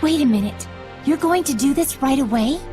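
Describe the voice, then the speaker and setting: An actress playing a gentle, prim, devout female spirit in a video game, with proper, formal diction. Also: sits high, expressive, moderate pace, music in the background. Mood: concerned. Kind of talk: English video game dub acting.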